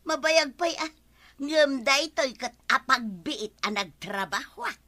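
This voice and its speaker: An actress with an Ilocano accent playing an excitable, money-proud, nagging village wife in a radio comedy drama. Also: female voice, high voice, a little slow, exaggerated voice. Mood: boastful.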